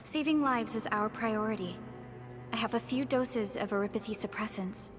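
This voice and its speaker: An actress playing a composed, caring female medic in a video game, gentle and measured in manner. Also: on the high side, natural voice. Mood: caring, focused. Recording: music behind.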